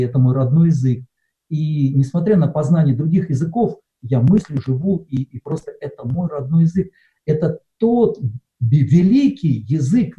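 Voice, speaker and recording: A middle-aged man from Russia preaches in a relaxed, conversational way, pausing often with hesitant fillers. His voice sits a little high and sounds very mellow and dark. The recording is dull and lo-fi. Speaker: pastor. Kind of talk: sermon.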